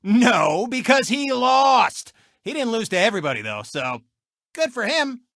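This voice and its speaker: Cocky cartoon race car, bright forceful voice, very animated delivery, smug sportscaster showboating, mocking, video game character. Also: male voice, extremely high pitch, unhurried, no echo, clean studio sound, full character voice. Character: brash gloating male race car turned sportscaster. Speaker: racing show host.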